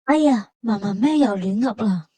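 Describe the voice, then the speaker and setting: A woman's voice: medium pitch, expressive, moderate pace, warm tone, breathy edge, no echo. A woman with a Hong Kong Cantonese accent narrating a travel vlog conversationally, explaining things like a storyteller.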